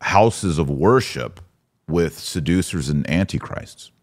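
Deep-voiced man, indignant podcast host. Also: lively intonation, slow pace. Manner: emphatic, indignant. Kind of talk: religious commentary in casual conversation.

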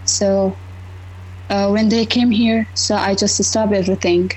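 Halting Afghan-accented woman, faint background hum. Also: mid-range voice, fairly even intonation, darkish tone, poor audio. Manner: halting, searching for words. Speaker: podcast guest sharing her story. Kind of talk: informal personal storytelling.

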